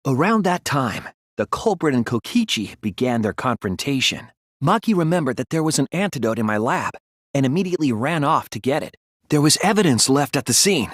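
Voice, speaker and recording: A man voicing an earnest young male student detective in a video game, explaining his deductions in a deliberate, thoughtful way. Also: mid-range voice, sing-song, dry recording, studio quality. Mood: measured reasoning. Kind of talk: scripted video game voice acting, deduction speeches.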